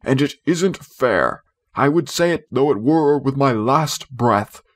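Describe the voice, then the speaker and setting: A man's voice: mid-range voice, animated, slow pace, pitched above the performer's own voice. A man voicing a blustering, self-important middle-aged father from a storybook, boastful and sulky. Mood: indignant. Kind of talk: storybook character voice.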